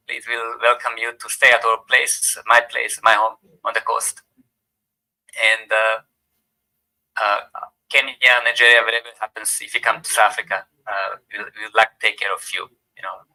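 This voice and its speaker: An older man with a Croatian accent giving a formal vote of thanks at an event, speaking haltingly and repeating words as he goes. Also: mid-range voice, sharp tone, poor audio. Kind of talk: formal closing remarks at an event.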